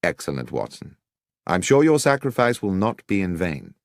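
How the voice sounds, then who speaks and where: A man's voice: low-pitched, very animated, normal speed, creaky, dry recording, exaggerated voice. A man voicing a brilliant, commanding detective in an audiobook, with a British accent and precise, formal, authoritative manner. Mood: satisfied. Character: brilliant, commanding male detective, brisk and grave.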